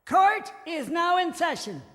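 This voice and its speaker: Shrill, stern game judge. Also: male voice, big pitch swings, measured pace, sharp tone, long reverb tail, soft background music, full character voice. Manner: curt, authoritative, formal. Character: stern, dismissive islander judge handing down sentence. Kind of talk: theatrical video game cutscene acting.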